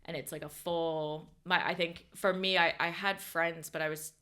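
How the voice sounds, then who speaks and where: A woman's voice: lowish voice, fairly even intonation, moderate pace, bright, forceful tone, clean tone. A young woman from the United States, a podcast guest in casual chat who rambles with many fillers and corrects herself.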